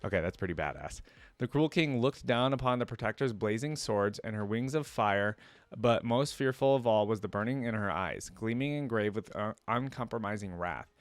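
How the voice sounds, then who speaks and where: Male reaction host, lowish pitch, very dry sound; narrates a story with casual, slangy commentary. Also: animated, moderate pace, no room sound.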